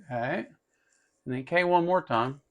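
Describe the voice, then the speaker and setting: A man's voice: a little high, measured pace, fairly bright tone, lo-fi sound. A man hosting a casual hands-on electronics tutorial, talking informally and thinking aloud as he works. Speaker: host of an electronics tutorial channel.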